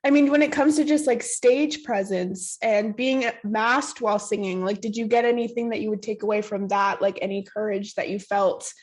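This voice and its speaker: A woman's voice: mid-range voice, steady tone, moderate pace, few pauses. A woman interviewer asking loose, casual questions, filled with "like" and "you know".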